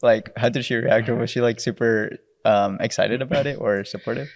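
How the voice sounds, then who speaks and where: Host: man, medium pitch, dry close recording, friendly and casual, lots of fillers. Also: steady tone, a little fast, no room sound. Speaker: podcast host. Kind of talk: casual interview questions.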